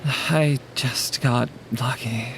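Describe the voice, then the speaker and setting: Man playing nervous, creaky-voiced, halting Claire. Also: medium pitch, a bit bright, slight background noise. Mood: curious, uncertain. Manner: stammering, halting, timid. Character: nervous, hesitant civilian woman named Claire. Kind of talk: audio drama acting.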